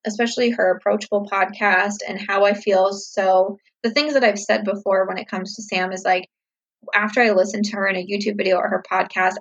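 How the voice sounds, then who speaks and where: A woman in her thirties talks casually to her viewers, upbeat and reflective, with lots of "like" and "you know". Her voice is a little husky and sits mid-range. The recording sounds slightly dull and lo-fi. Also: medium pitch. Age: 30s. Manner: upbeat, chatty, reflective with many fillers. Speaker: vlogger answering viewer questions. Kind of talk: casual Q&A chat to camera.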